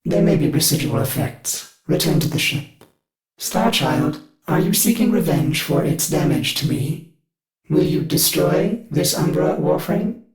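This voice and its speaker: Echoing, creaky machine intelligence. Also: male voice, a little high, animated, measured pace. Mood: clinical, probing. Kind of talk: scripted video game voice acting.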